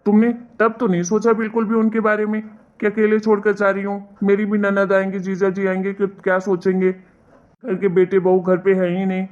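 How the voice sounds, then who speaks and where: A woman voicing an exasperated, reproachful young husband in an animated family drama, lecturing and scolding. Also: medium pitch, normal speed, lo-fi sound, close to an everyday voice.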